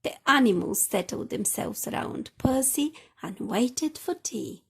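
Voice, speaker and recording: A woman narrates a children's story at an unhurried pace. Her voice is fairly high with a husky, breathy texture, and her reading is expressive.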